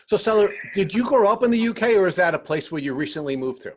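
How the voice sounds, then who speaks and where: A man's voice: high voice, lively intonation, fast talker. A man hosting an interview podcast, reading prepared introductions and putting questions to a guest.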